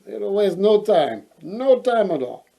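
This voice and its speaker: A man reading a children's fairy tale aloud in a playful, expressive way, adding joking asides. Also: high voice, measured pace, gravelly, rough recording. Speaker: storyteller, host.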